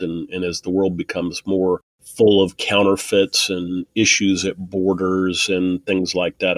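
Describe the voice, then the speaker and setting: A man's voice: deep voice, a bit bright, dry recording. A middle-aged man answering interview questions about technology in a thoughtful way, often restarting and repeating words. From born in Taiwan, raised in an Air Force family. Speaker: guest, technology executive.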